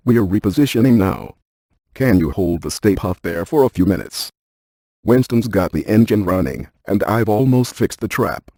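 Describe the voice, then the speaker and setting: A man voicing a brainy, unflappable ghost-hunting scientist in a video game, with precise, clinical, deadpan delivery. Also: on the low side, no echo. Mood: brisk, composed. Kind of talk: scripted game voice lines.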